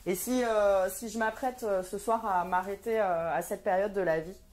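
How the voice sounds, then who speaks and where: A woman's voice: medium pitch, fairly even intonation, moderate pace, darkish tone. A woman in her thirties performing a stand-up comedy monologue, wry and sardonic, with frequent hesitant fillers. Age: 30s.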